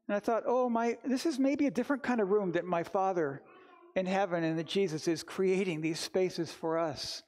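A man's voice: sits high, darkish tone. Older male pastor telling stories. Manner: reflective, conversational. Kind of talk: informal storytelling sermon. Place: church sanctuary, standing before the altar.

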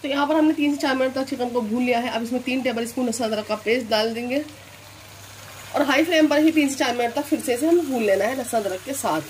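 Calm woman giving cooking steps. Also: sits high, fast talker, slight background noise, rough recording. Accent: Hindustani, Delhi area. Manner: calm instructive, repeats "here" and "this way". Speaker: cooking channel host. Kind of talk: step-by-step recipe voice-over.

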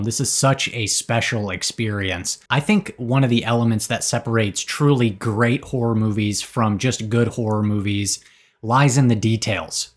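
A man in his thirties giving a casual, enthusiastic movie review to camera, with frequent fillers and restarts, recorded close on a desk mic in a small room. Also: medium pitch. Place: small indoor room with shelves of collectibles. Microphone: desk studio mic close in front.

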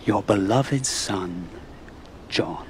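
An actor playing a cold, vengeful prince, quiet and cutting, in a historical radio drama. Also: male voice, lowish voice, very slow, noisy background. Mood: cold, taunting. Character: cold, vengeful prince taunting his father.

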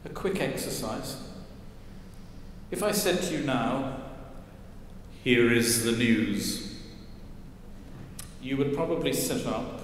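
An older man preaching a sermon from a church lectern, wry and gently humorous as he tells stories. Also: medium pitch, lively intonation, slow pace, long pauses, slight background noise. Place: large stone church, at a lectern. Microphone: lectern mic, far camera. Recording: echoey church with long reverb.

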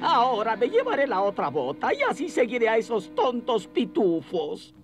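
A scheming cartoon wizard, pitched high and swooping wildly. He is irritable and theatrical, drawing out his gloating and grumbling over background music. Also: huge pitch swings, normal speed, heavily acted. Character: scheming, irritable middle-aged male wizard villain. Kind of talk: cartoon dub acting. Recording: music behind the voice.

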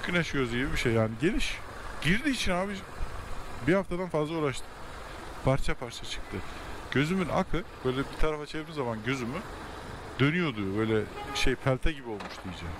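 Male motovlogger, slightly high voice, chatty and preachy, loud road noise on a helmet mic. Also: expressive, fast talker. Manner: chatty, scolding about safety gear. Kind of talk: casual commentary while riding. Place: on a motorcycle in city traffic, outdoors.

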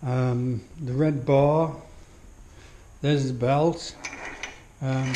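A man giving a relaxed walkthrough of his home gym, admiring each piece of kit with many pauses and fillers, recorded on a handheld action camera in a small indoor room. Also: on the high side, background noise. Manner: unhurried, full of fillers, admiring. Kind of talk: casual walkthrough commentary. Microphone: action camera held while walking.

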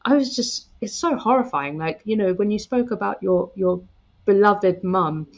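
A woman's voice: medium pitch, normal speed, dull audio. A woman in her thirties hosting a podcast interview from home, speaking empathetically with hesitant fillers. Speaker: podcast host. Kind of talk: casual interview chat. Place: home room with bookshelves. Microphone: headset.

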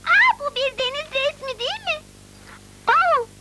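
A woman's voice: extremely high pitch, lively intonation, measured pace, full character voice. A woman voicing a sweet, wide-eyed little small-town girl in a Turkish cartoon dub, childlike and exclamatory. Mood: curious, polite. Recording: old VCD dub with loud music.

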